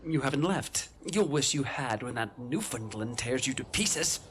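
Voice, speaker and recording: A man acting as a hostile local villain from an adventure game, scornful of outsiders. His mid-pitched voice rises and falls sharply as he threatens, with background ambience around him. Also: lively intonation, a bit bright, a little hiss or hum, lightly acted. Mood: menacing. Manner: menacing, slow, threatening. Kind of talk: scripted adventure game dialogue.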